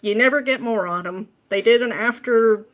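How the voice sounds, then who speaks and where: A woman's voice: a little high, normal speed, muffled recording. A woman guesting on a podcast, ranting casually with exasperated sarcasm and dramatic, mimicking emphasis.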